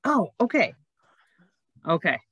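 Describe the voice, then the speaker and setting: A woman's voice: fairly low pitch, sing-song, takes it slowly, fairly bright tone, husky, no room sound, clean studio sound. A woman hosting a casual podcast interview, chatting in an enthusiastic way. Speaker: podcast host.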